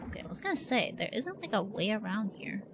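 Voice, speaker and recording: A woman's voice: medium pitch, very animated, fast, background noise. A young woman livestreaming a game, chatting casually and slangily with viewers. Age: young adult. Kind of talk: casual chat while gaming. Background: game music.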